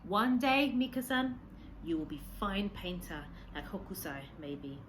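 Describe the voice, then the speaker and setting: Old Japanese man, acted by a woman, storybook character, patient, gentle, halting simple phrasing, noticeable background noise. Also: high voice, sing-song, pitched above the performer's own voice, exaggerated voice. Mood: pleased, encouraging. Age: older. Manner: halting, simple broken English.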